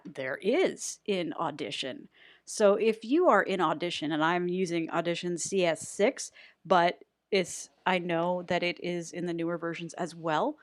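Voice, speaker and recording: A chatty woman with a slightly low voice walks through a tutorial casually and informally. She has a playful, self-deprecating sense of humor, and the recording is close and dry with very little room sound. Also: a bit bright, dry recording. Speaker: voice actor giving an audio editing tutorial.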